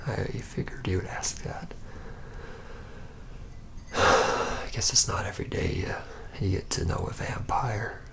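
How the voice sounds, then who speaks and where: A reluctant young male vampire, acted by a man, speaks in a hushed voice thick with gravelly fry. He is slow and halting, pausing often. His weary, apologetic manner suits an intimate ASMR roleplay. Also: low voice, full character voice. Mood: resigned, weary. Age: young adult. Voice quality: breathy, gravelly whisper. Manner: hesitant, halting, apologetic, full of pauses.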